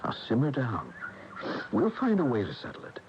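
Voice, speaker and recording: An actor playing a soothing male confidant in a radio mystery, calming and coaxing in manner. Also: mid-range voice, moderate pace. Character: soothing male confidant of a troubled married woman. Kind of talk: 1980 radio mystery acting. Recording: muffled AM radio, very noisy background.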